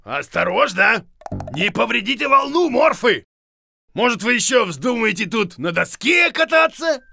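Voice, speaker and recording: A clueless old bearded cartoon creature who fancies himself a teacher. His gravelly voice swoops wildly up and down in slow, boastful, bumbling bursts, with music playing behind. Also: extremely high pitch, big pitch swings, bright, forceful tone, exaggerated voice. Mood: fretful. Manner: bumbling, boastful, exclamatory, with grunts and drawn-out words. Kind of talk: children's educational cartoon acting.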